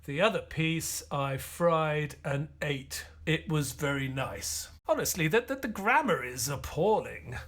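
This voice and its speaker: Measured, high-pitched doctor. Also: male voice, lively intonation, a bit bright. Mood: weary, reluctant. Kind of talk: audio play acting and narration.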